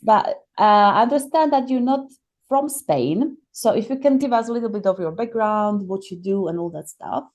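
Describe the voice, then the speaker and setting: A woman's voice: medium pitch, moderate pace, darkish tone. A woman with a Slovak accent hosting a casual interview podcast, keen and full of eager interjections. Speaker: podcast host.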